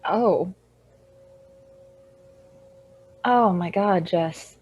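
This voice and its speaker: An actress in a radio drama playing a young woman's loyal best friend, speaking softly. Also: fairly low pitch, takes it slowly, breathy edge, dull audio. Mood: concerned. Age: young adult.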